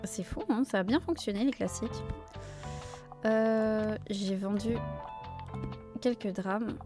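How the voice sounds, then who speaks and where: A woman's voice: medium pitch, takes it slowly. A woman streamer giving casual gameplay commentary, chatty and thinking aloud as she plays. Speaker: gaming streamer. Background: faint game music.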